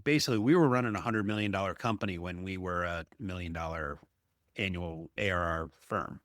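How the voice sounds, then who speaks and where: A man with a fairly deep voice hosts a business podcast. He speaks conversationally and emphatically, telling things through anecdote and tagging points with a questioning "right?". The sound is very dry, with no room to it. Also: a little fast, a bit bright, no room sound. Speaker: podcast host, apartment investor.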